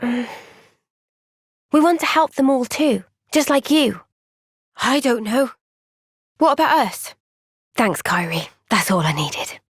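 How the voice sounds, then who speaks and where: An earnest young female soldier from a fantasy video game. Her voice sits on the high side and she takes her time, gentle and reflective with thoughtful pauses. The sound is clean and very dry, with no room around it. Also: expressive, breathy edge, no room sound, full character voice. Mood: reflective, sincere. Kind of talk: video game cutscene voice acting.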